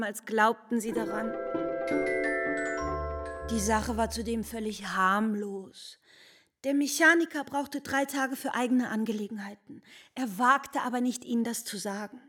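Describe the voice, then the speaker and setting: An actress playing a defiant, exasperated young woman confessing her lies in an indignant, expressive literary radio play manner. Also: a little high, moderate pace. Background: mallet percussion music.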